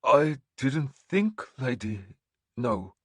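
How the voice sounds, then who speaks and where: A man voicing a nervous male warrior who answers a ruler in timid, hushed words. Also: mid-range voice, very animated, very slow, slightly rough, dry recording, studio quality, voice pushed up, full character voice.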